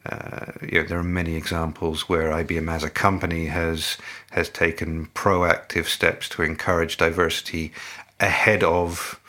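A middle-aged man answers interview questions slowly and carefully, pausing often and restarting his sentences. His voice sits low and gravelly, with a flat, even delivery. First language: English. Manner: measured, halting, many fillers and restarts. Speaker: company representative, interviewee.

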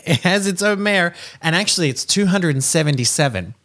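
A man chatting casually, talkative and animated, often breaking into laughter as he tells stories. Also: high voice, fairly quick. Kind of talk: casual chat.

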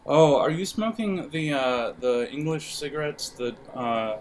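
A man's voice: on the high side, unhurried, darkish tone, a little hiss or hum. A man running a tabletop game as game master, improvising casual narration and often searching for his words. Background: music under the voice.

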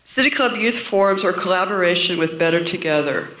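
A woman's voice: fairly low pitch, lively intonation, brisk, a bit bright, roomy sound, rough recording. A woman hosting a public forum, announcing the program and reading out guest introductions. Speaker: event host.

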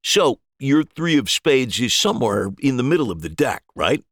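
A man's voice: mid-range voice, big pitch swings, moderate pace, a bit bright, dry recording, voice pushed up. A man voicing a cheerful, reassuring magician with upbeat patter in an audio story. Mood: showy patter. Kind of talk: acted dialogue in an audio short story.

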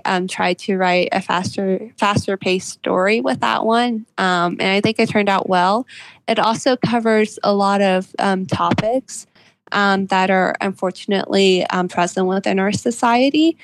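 Woman guest author in an interview, earnest and measured, vocal fry, close dry sound. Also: medium pitch, fairly even intonation, no echo, low-quality recording. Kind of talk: reflective interview conversation.

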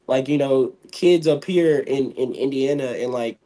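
A man's voice: medium pitch, unhurried, warm tone, low-quality recording. A young man in his twenties from Mississippi with a light voice, talking in a rambling way as a wrestler being interviewed. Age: 20s. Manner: rambling, many fillers and restarts. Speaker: guest, pro wrestler. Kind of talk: casual interview.

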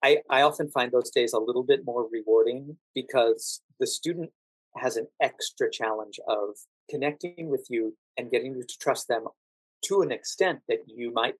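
An American man speaks as an interview guest in a close, dry sound with little room echo. He explains things thoughtfully and in a measured manner, at a middling pitch. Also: husky. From United States. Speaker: podcast guest, actor working as a standardized patient.

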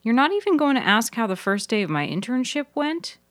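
A woman's voice: a little high, big pitch swings, brisk, no room sound, clean studio sound, pitched above the performer's own voice. A woman voicing an earnest, easily stung young woman who loves nature, in a fiction podcast. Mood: stung, agitated. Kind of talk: audio fiction character dialogue.

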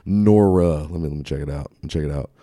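A congested man with a deep, dark voice rambles through a story, swearing freely and laughing at his own jokes. The sound is close and dry, with no room around it. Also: fast talker, dry recording. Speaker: podcast co-host. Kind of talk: casual profane banter.

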